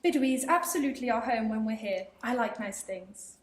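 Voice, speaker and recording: A young actress playing a fussy, house-proud holidaymaker wife in a school radio play. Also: female voice, a little high, lively intonation, a little fast, natural voice. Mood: fussy chatty. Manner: chatty and fussy. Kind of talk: amateur radio play acting. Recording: roomy echo, faint hiss.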